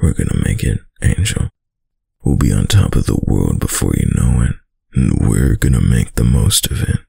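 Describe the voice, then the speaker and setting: Guy doing a dominant doting mob boss boyfriend, super gravelly n husky, up close asmr roleplay, slow tough talk. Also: expressive, mellow, no echo, full character voice. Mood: earnest, devoted. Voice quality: very deep, gravelly voice. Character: dominant, doting young male mafia heir, tough but tender. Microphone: close, dry microphone.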